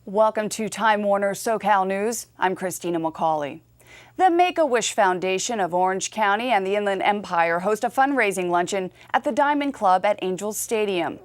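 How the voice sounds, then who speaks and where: A woman hosting a local news show, reading scripted narration in an upbeat, chatty way. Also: mid-range voice.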